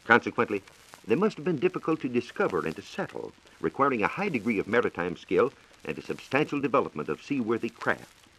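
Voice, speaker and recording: A man's voice: medium pitch, big pitch swings, quick pace, fairly bright tone, slightly rough, rough recording. A man with an American accent giving a formal, explanatory scripted science talk on 1940s radio. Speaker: science reporter.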